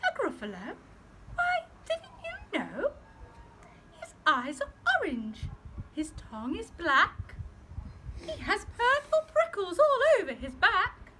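A woman doing a squeaky cheeky little mouse. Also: wildly swooping pitch, heavily acted, rough recording. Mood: perky, boastful. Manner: high, quick and perky. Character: small brave clever mouse, squeaky and cheeky. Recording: loud background noise.

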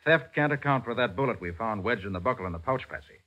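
A shrewd, confident American detective hero of 1940s radio drama, speaking crisply and decisively. The voice is sharp and animated, on a muffled old recording with light hiss. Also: male voice, fairly low pitch, fast talker. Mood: determined.

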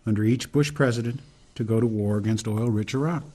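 A man's voice: lowish voice, a little fast, dark tone, close to an everyday voice. A man playing an earnest, indignant political dissenter in a radio drama, explaining things pointedly.

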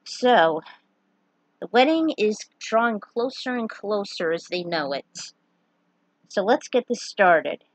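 A woman's voice: medium pitch, expressive, slow, dry recording, low-quality recording. A woman giving casual recaps and amateur narration as the host of a read-aloud fan fiction series.

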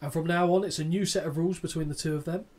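A man's voice: fairly high pitch, fairly even intonation, very fast, a little mellow, a little hiss or hum. A man in his thirties co-hosting a wrestling podcast, reading a match recap aloud with dry, sarcastic asides and casual swearing. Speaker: podcast co-host.

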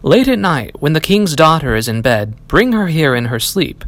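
A man reads as a bitter discharged soldier from a fairy tale. He is commanding and bossy, with a fairly high, animated voice. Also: moderate pace. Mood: vengeful.

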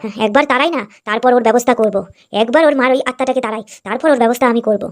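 A woman's voice: fairly high pitch, rapid-fire, soft background music, lightly acted. A woman voicing a spiteful, scheming young stepmother who nags and complains in a whiny cartoon manner. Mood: spiteful, aggrieved.

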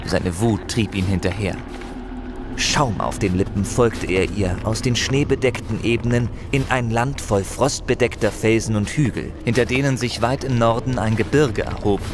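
A man's voice: on the low side, noisy background. A man narrating a fantasy audio play in a dramatic, scripted storytelling style. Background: loud music.

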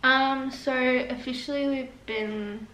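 Bright-voiced young woman. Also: high-pitched, fairly even intonation, slow pace. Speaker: vlogger co-host. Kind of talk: casual Q&A chat. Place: living room, on a couch indoors. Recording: echoey room, mic at a distance, faint hiss.

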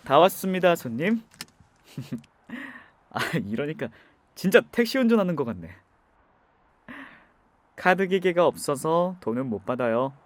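Male actor as a young driver, gentle and reassuring, light voice with a lot of melody. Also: on the high side, big pitch swings, a little slow, pauses often, dry recording. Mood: gentle, comforting. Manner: soft, gentle, comforting. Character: young man driving, kind and soft-spoken. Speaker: voice actor in an audio drama. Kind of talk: ASMR audio drama acting.

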